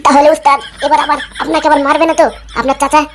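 A woman's voice: high voice, level tone, rapid-fire, lightly acted. A woman voicing a feckless, eager young village man with a whiny, insistent manner in a comic cartoon. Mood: curious.

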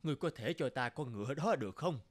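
A man's voice: medium pitch, lively intonation, quick pace, natural voice. A man voicing a middle-aged samurai lord in a cartoon, authoritative and urgent. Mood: earnest request.

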